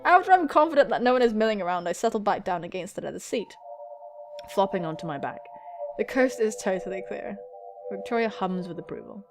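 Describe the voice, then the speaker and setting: An excitable, giggly young woman reading a visual novel aloud and adding dramatic, sweary commentary as a gaming host. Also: mid-range voice, a little fast. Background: music.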